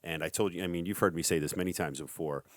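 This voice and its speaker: A middle-aged man hosting a podcast, talking casually in an energetic motivational way. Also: sits low, fast talker, no echo, studio quality. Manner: energetic, hype-style motivational. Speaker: podcast host.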